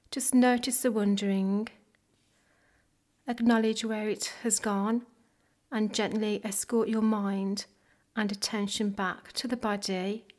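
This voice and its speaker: A woman leading a guided body scan meditation in a soft, gentle, measured way. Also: mid-range voice. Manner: gentle, measured, unhurried phrasing with pauses.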